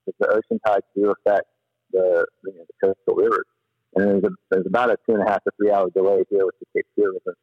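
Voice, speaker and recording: A man telling stories casually as a podcast guest, in an animated, slangy, rambling way. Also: deep voice, fast, no room sound, dull audio. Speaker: podcast guest, paddler and former river guide. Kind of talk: casual storytelling in an interview.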